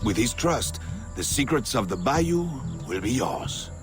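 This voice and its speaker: Slow, swooping roguish smuggler. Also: male voice, mid-range voice, very animated, over music. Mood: sly, flirtatious. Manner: playful, mock-wounded, drawling, halting. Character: roguish, flirtatious male smuggler with theatrical charm. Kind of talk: scripted video game cutscene acting.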